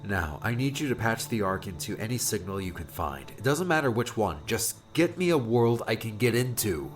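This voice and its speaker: Man acting a low-voiced, troubled young adventurer. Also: lively intonation, normal speed, soft background music, lightly acted. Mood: urgent, determined. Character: hurried, troubled young male adventurer pleading for help. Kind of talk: audiobook character acting.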